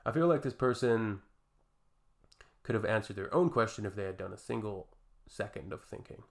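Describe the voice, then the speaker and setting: Gravelly Canadian man, dry sarcasm. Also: fairly low pitch, animated, moderate pace. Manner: dry, sarcastic, exasperated asides. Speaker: reaction video host. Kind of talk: reaction commentary, reading posts aloud.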